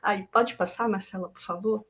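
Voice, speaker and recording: A Brazilian woman artist from Bahia, talking through her work in a reflective, halting way with many fillers and restarts. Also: mid-range voice, fairly even intonation, a bit bright. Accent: Brazilian Portuguese. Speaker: visual artist.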